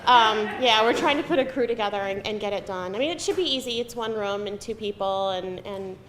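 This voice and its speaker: A woman's voice: brisk, fairly bright tone. Middle-aged woman in an echoey room. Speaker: screenwriter on a Q&A panel. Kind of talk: casual panel Q&A answers. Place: live film festival event room. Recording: echoey room, audible noise and rumble.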